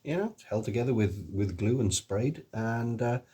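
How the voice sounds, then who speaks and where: A man's voice: lowish voice, expressive, normal speed, warm tone, husky. A middle-aged man with an accent between English and Welsh, chatting casually to camera in a rambling way with many ums. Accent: mix of English and Welsh. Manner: rambling, full of ums and restarts. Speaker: author, illustrator and voice actor. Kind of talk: casual video chat to camera.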